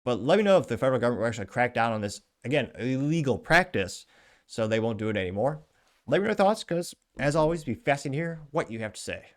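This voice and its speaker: A man's voice: medium pitch, sing-song, fast. A man hosting his own show, reading social media posts aloud with chatty asides in casual news commentary. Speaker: show host.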